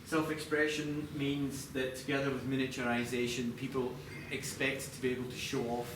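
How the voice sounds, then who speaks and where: A man giving an explanatory product design presentation to a live audience as a chief designer, speaking in measured, slightly hesitant phrases. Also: medium pitch, level tone. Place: large echoey indoor presentation space. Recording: echoey room, distant mic, background noise.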